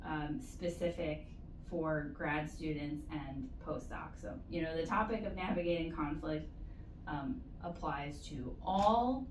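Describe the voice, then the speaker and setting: A woman giving measured, explanatory advice as an interview guest, in a conversational way with stretched emphasis. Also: deep voice. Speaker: interview guest, university postdoctoral support staff. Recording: roomy echo, distant mic, faint noise.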